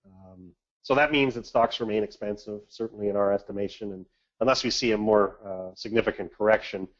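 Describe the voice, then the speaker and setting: A man giving a measured, businesslike market briefing as an investment manager on a conference call, speaking in a halting, hesitant way. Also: lowish voice, fairly even intonation. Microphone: conference call line. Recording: dull, band-limited conference call audio.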